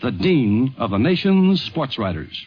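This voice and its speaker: A man's voice: mid-range voice, very animated, unhurried, husky, soft background music. A man narrating a scripted 1950s radio documentary. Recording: muffled vintage 1950s radio broadcast.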